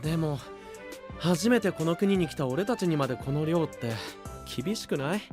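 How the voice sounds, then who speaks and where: A man's voice: a little high, lively intonation, normal speed, natural voice. Man playing a teasing young knight, anime audio drama, casual, boyish, cool-headed, wry, varied intonation, background music. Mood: weary, complaining.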